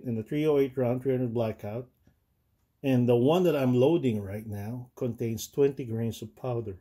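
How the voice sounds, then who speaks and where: A man's voice: mid-range voice, mellow. A man whose first language is Tagalog, hosting a casual explainer video, talking hesitantly with many fillers and restarts. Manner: slow, deliberate. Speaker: channel host.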